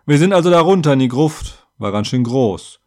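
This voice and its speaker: A man's voice: mid-range voice, animated, normal speed, a little mellow, pitched above the performer's own voice, close to an everyday voice. A man voicing a muscular, womanizing elite warrior in a fantasy story, talking in a blunt, offhand, self-assured way.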